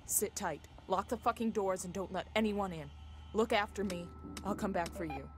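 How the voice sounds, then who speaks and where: A woman's voice: mid-range voice, normal speed, a little hiss or hum, lightly acted. A woman playing a determined, commanding survivor in a video game, speaking in a terse, urgent way. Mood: firm, urgent.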